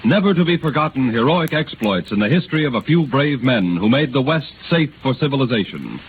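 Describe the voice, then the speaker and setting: A male announcer delivers formal, declamatory narration in 1930s radio style. His pitch is middling. The sound is thin and tinny, with clear noise throughout. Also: sing-song, a little fast.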